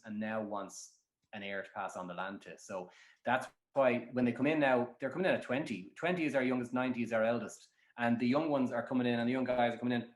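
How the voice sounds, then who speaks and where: An Irish man speaking bluntly on a podcast, with a mid-range voice. He rambles on, restarting his sentences, and the room has a noticeable echo. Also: mid-range voice. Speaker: matchmaker guest. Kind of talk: casual podcast chat.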